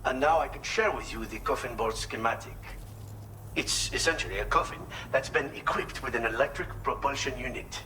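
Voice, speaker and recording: An actor playing a thoughtful, helpful mechanic in a video game, explaining things in a measured, slightly hesitant way. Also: male voice, mid-range voice, bright, forceful tone, a little hiss or hum, lightly acted. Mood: explanatory, wary. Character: thoughtful male inventor mechanic, earnest and helpful. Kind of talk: video game cutscene dialogue.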